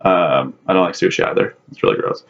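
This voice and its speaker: A man's voice: sits low, brisk, vocal fry, lo-fi sound. A man co-hosting a casual chat, answering questions in a laid-back, joking way.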